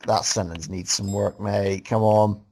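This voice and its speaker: A man's voice: fairly low pitch, steady tone, a little slow, gravelly, darkish tone. A man reading a story aloud casually as host, breaking off to make joking, mocking comments. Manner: breaks off to mock the writing.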